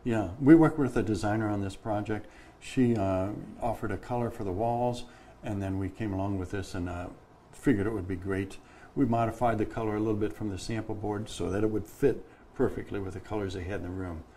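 Mellow-voiced, plain-spoken middle-aged man. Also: on the low side, fairly even intonation, fairly quick, slight background noise. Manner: plain-spoken, practical, explains with hand gestures. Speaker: painting contractor guest. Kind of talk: casual studio interview. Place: bright studio set at a table.

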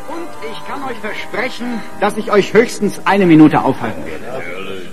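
Animated, charming party toastmaster. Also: male voice, high-pitched, a little slow, over music. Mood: jovial, declamatory. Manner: grandiose, teasingly emphatic speechmaking. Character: convivial, charming male party guest giving a toast. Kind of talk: radio drama party speech.

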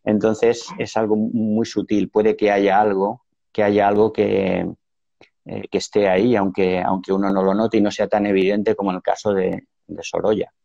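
A man's voice: fairly low pitch, flat delivery, moderate pace, vocal fry, mellow, dry recording, muffled recording. A man in his fifties with a Valencian Spanish accent, a painter answering interview questions hesitantly on a video call, often restarting his sentences. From Valencia, Spain. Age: 50s. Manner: hesitant, many restarts and fillers. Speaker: painter, guest. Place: room at home.

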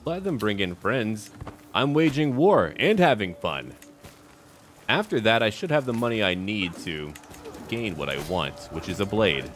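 A man's voice: lowish voice, big pitch swings, a little slow, fairly bright tone, slight background noise. A man giving first-person roleplay commentary on a strategy game in short, theatrical remarks, as if addressing its characters. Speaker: gaming video host.

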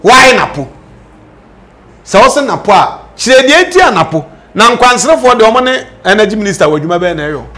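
Man, Ghanaian accent, notably high voice, political commentator, emphatic, repetitive, questioning. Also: very animated, fast, sharp tone. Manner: emphatic, questioning, repeats phrases. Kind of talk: animated political discussion, switching between languages. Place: indoor studio set.

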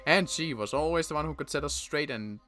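A young man playing a weary survivor in a Minecraft roleplay, talking in halting bursts with sighs and restarts. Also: fairly high pitch, sharp tone, soft background music. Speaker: roleplay player and channel host.

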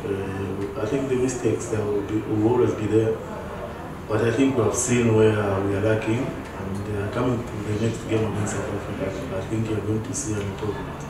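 A low-pitched man speaking as a football coach at a press conference, measured and hesitant. His delivery stays flat and even. The room echoes heavily and he sounds set back from the mic. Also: distant mic, noisy background. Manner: measured, hesitant, many "uh" fillers. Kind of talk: post-match press conference. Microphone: headset mic.

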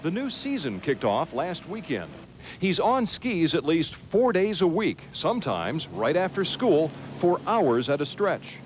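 A man's voice: fairly high pitch, very animated, measured pace. A middle-aged American man reading the news in a scripted broadcast style as a TV anchor. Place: TV news studio. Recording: noisy old TV broadcast tape.

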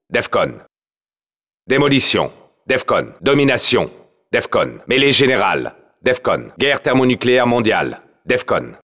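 A commanding male soldier announces for a military video game. His voice is bright and forceful, swinging widely in pitch. He keeps to short, clipped, authoritative callouts with battle-hardened urgency. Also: heavily acted. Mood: firm, clipped. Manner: terse, authoritative, clipped phrasing.

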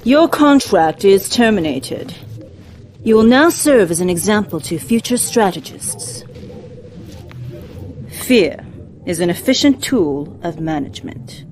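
A woman's voice: medium pitch, lively intonation, slow pace, long pauses, a little mellow, slight background noise. A woman playing a cold, imperious war commander in a video game, speaking in a formal, businesslike manner. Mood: cold menace. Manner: formal, clipped, coldly businesslike. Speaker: military commander character giving orders. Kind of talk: scripted video game cutscene acting.

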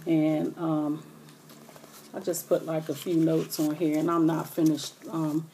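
A hoarse woman with a low, mellow voice talks softly into a close webcam in a small bedroom. She rambles with plenty of fillers and keeps her voice down. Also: level tone, a little slow, a little hiss or hum, rough recording. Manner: talking low and hushed, rambling with many fillers. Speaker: vlogger. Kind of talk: casual direct-to-camera update. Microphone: webcam or laptop camera close up.

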